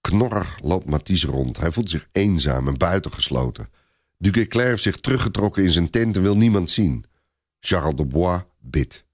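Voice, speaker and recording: A man narrating a dramatic historical story for a radio play in an expressive storytelling manner. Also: low voice, moderate pace.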